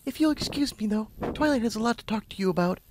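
A man voicing a timid, gentle young female pegasus pony in a soft, hesitant near-whisper. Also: sits high, expressive, fast talker, a little hiss or hum, pitched above the performer's own voice. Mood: shy, hesitant. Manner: hesitant, meek, polite.

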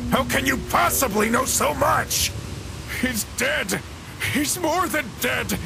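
A man voicing a bitter, distressed skeleton in a comic dub, with an agitated, halting delivery. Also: very high voice, vocal fry, exaggerated voice. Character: distressed, bitter skeleton haunted by forgotten memories. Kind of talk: animated comic dub acting. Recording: echoey, with music behind.

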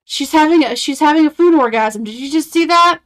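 A woman in her thirties talks over a video in loose, casual commentary. She is blunt and sarcastic, swearing freely. Her voice sits a little higher than most. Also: brisk, fairly bright tone. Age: 30s. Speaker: reaction commentator.

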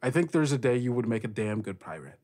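A man acts out a female pirate captain in a tabletop roleplaying game. She talks casually and haltingly, swears freely, and comes across frank and a little rough around the edges. The pitch sits in an ordinary male range. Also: big pitch swings, darkish tone, close to an everyday voice. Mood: sincere, encouraging.

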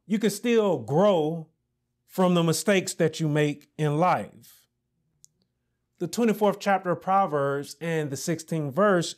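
Slow, high-voiced preaching pastor. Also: male voice. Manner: preaching with rising emphasis and slow deliberate pauses. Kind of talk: sermon. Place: small indoor church office set with pulpit. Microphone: lapel mic.

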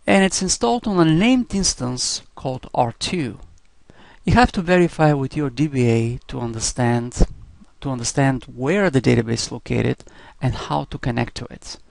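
A man giving step-by-step software instruction in a scripted screencast narration style, as a teacher would. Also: medium pitch, creaky, dry recording.

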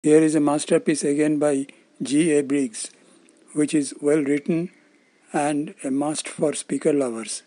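An elderly man with an Indian accent presents in a dark, relaxed tone. His voice sits a little high for a man. He explains things formally, as if from a prepared script. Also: a little slow. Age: older, around 70s. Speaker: presenter of loudspeaker-making videos.

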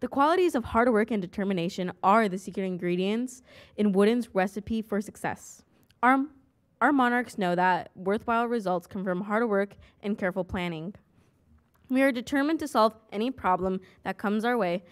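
A young student council president reading a prepared school report aloud at a desk microphone in a large meeting hall. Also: female voice, mid-range voice, normal speed. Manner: reads aloud from a script, even and careful.

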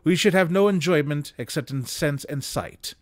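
A man reading classic prose aloud expressively, with measured, dramatic emphasis, close to a desk microphone. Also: on the high side, no room sound. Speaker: reader of holiday stories aloud. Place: small indoor room with bookshelves. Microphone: desk condenser microphone, close. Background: music.

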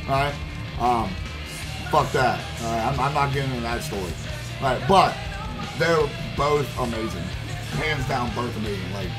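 A young man streaming from a headset at his gaming desk, telling a long story in a loose, profane, rambling way full of fillers. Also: on the high side. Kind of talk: casual storytelling while gaming. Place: indoor room at gaming desk. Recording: background guitar music playing.

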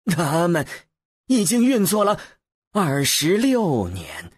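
Male reader as older scholar, high swooping voice, hesitant, apologetic, husky, audiobook character. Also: wildly swooping pitch, slow, dry recording, studio quality, exaggerated voice. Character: flustered, modest older male scholar acting as translator. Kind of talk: acted audiobook dialogue.

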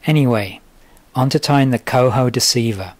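A middle-aged man talking through a fly-tying demonstration in a relaxed, methodical way at his home tying desk. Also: mid-range voice, normal speed, dry recording. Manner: relaxed, methodical, with frequent uh fillers. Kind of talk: instructional voice-over.

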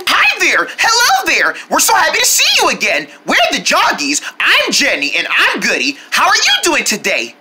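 A woman voicing a sweet, excitable young kindergartner in a children's cartoon, exclaiming in a sing-song way to young viewers. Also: sits high, moderate pace, few pauses, background noise. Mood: cheerful greeting. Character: excitable, sweet young kindergartner, friendly kids' show character.

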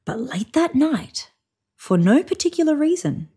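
A woman in her thirties narrating a children's picture book in an expressive read-aloud style. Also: high voice, a little slow. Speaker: storybook reader. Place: indoors, on a sofa in a room.

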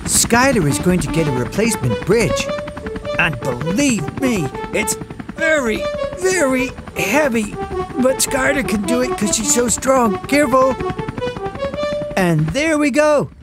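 Woman doing playful kids cartoon narration, super animated pitch, slow pace, music under it. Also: rough recording. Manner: animated, chatty asides to young listeners. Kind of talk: playful scripted narration for toddlers. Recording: music behind the voice.

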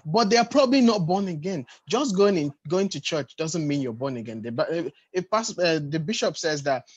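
Male panelist, thirties, raspy and dry-sounding, earnest explainer who drives points home by repetition. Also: fairly high pitch, animated, normal speed, no echo, highs cut off. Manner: explanatory, repeats short phrases for emphasis. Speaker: panelist at a church youth congress. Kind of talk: earnest, unscripted teaching in a panel discussion. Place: home room with bookshelves, video call.

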